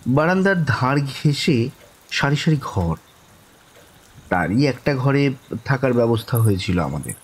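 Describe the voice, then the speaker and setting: A man narrating a horror story in the first person, dramatically and suspensefully, with pauses between short phrases. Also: medium pitch, big pitch swings, a little hiss or hum, rough recording.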